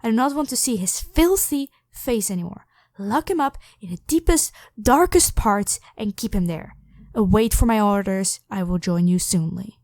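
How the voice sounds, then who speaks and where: A woman's voice: mid-range voice, animated, moderate pace, a little mellow, dry recording, natural voice. A woman voicing a devoted, protective royal knight, head of the guard, with formal, deferential speech in a scripted ASMR roleplay. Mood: stern, commanding. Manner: formal, deferential address to a monarch.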